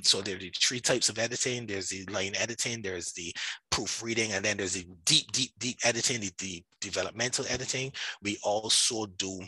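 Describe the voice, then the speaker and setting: A man from Barbados with a Barbadian accent, chatting casually about his own work in an animated, emphatic way full of asides. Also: on the low side, breathy edge, no echo, low-quality recording. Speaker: podcast guest, writer and self-publishing coach. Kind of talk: casual conversational self-promotion.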